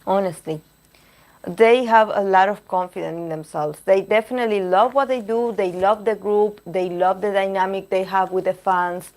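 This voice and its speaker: A woman reading tarot cards on a live stream, chatting with viewers and pausing to search for words, her voice going hoarse. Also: medium pitch, fairly even intonation, darkish tone. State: losing her voice. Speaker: tarot reader.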